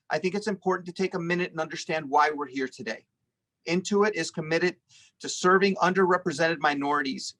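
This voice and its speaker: A man's voice: a little high, fairly bright tone, low-quality recording. A man moderating a webinar over a video call, giving a formal, scripted introduction. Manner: formal, read-aloud delivery.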